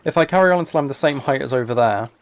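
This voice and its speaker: A man with a mid-pitched voice and plenty of fry chats casually as he streams a game. He thinks aloud in hesitant, polite fragments, trailing from one small thought to the next. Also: lively intonation, very fast. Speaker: live streamer. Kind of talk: casual livestream chat while playing a video game.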